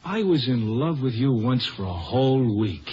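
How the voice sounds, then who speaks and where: An actor playing a smitten young magazine photographer in a 1950s radio comedy, brisk, polite and flattering while bossing people around. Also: male voice, medium pitch, lightly acted. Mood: smitten, admiring. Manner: polite but bossy, flattering. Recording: muffled, noisy old radio recording.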